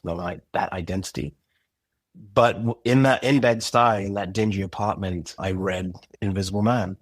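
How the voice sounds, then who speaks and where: Low, husky-voiced man, thoughtful. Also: no room sound. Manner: thoughtful, pausing, restarts phrases. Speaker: podcast guest, writer and director. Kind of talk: reflective interview talk.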